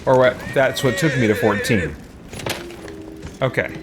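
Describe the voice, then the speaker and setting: A man's voice: medium pitch, a little slow. Man thinking aloud while gaming, noisy background. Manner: muttering to himself, repeating words, mild exclamations. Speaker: gamer hosting a let's play. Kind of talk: casual gameplay commentary, thinking aloud.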